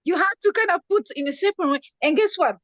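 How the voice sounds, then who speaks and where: A woman's voice: very high-pitched, fast talker, a bit bright, dry recording, highs cut off. A woman from the Congo, hosting a talk show and chatting enthusiastically, with many hesitations. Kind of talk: casual talk-show chat.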